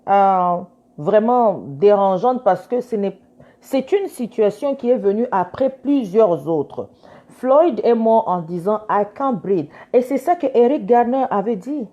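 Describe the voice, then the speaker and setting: Earnest woman, mellow dull-sounding voice. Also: mid-range voice. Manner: earnest, switches between French and English; insistent, repeats key phrases. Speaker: lawyer. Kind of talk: casual commentary.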